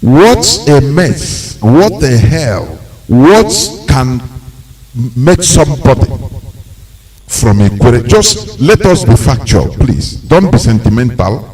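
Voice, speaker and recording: Probing Nigerian radio host. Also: male voice, medium pitch, big pitch swings, slow pace, a little mellow, recorded from a distance, low-quality recording. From Imo State, Nigeria. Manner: probing, presses questions with repeated restarts. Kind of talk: call-in radio interview.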